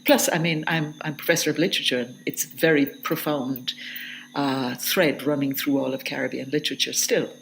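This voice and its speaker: A woman's voice: extremely low pitch, fairly even intonation, brisk, gravelly, a little hiss or hum, poor audio. A middle-aged woman, a literature professor answering interview questions in a reflective, hesitant way. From Jamaica. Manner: thoughtful, hesitant, with restarts.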